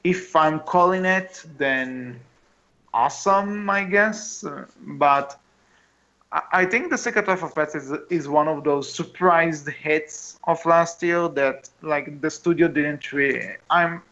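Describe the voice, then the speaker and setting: Guy podcast host chatting movies, kinda high voice, thinks out loud, lo-fi muffled audio. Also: slow pace. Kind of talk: casual film discussion. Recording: dull lo-fi voice recording.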